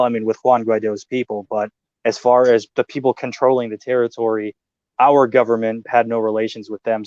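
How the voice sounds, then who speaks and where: An American man as a guest, explaining at length in a measured way with frequent pauses. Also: mid-range voice, a little mellow, no echo, dull audio. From United States. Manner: measured, explanatory, many uh fillers. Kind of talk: casual interview talk.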